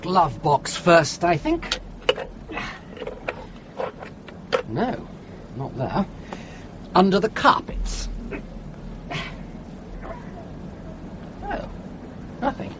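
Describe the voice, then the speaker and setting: An actor playing a haughty, suspicious and peremptory British gentleman in a radio play. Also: high voice, wildly swooping pitch, slow, heavily acted. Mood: impatient, imperious. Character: haughty, suspicious, peremptory grown son of wealthy mother. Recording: dull radio recording, faint noise.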